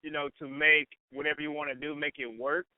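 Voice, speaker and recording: Male radio personality as guest, slightly high voice, conversational and informal, circling back over phrases, narrow phone-like sound. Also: level tone, quick pace, dry recording. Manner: informal, repetitive, peppers talk with "you know" and "man". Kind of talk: casual conversational interview.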